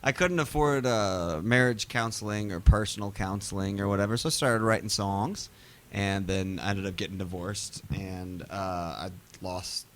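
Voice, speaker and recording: A man's voice: on the low side, fairly bright tone. A man chatting casually as a musician guest, telling rambling, slangy anecdotes that he often interrupts himself. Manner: halting, self-interrupting, slangy.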